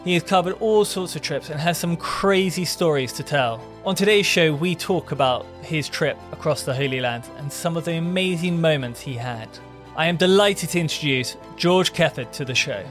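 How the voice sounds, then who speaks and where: A man hosting an adventure podcast, speaking with a measured, welcoming presenter manner. His voice sits somewhat high for a man, and faint music hums underneath. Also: a bit bright. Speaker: podcast host. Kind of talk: podcast interview and scripted intro.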